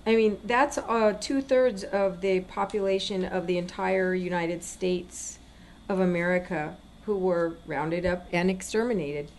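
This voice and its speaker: A woman's voice: fairly low pitch, fairly even intonation, unhurried, darkish tone, slight background noise. A woman co-hosting a political talk show, speaking conversationally and earnestly, repeating points for emphasis. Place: inside a gun store.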